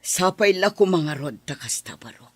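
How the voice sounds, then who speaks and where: A woman playing an elderly grandmother who frets over her kidnapped grandchild in a radio drama, speaking softly and pleading. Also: lowish voice, lively intonation, moderate pace, slightly rough, no room sound. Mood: worried. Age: older. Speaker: mother and grandmother.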